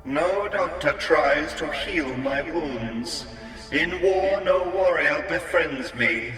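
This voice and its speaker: Man voicing a slow, echoing enchanted riddle stone. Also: fairly high pitch, animated, far from the mic, pitched above the performer's own voice. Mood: sneering, ominous. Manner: drawn-out, solemn riddle recital. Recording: echoey hall reverb with music.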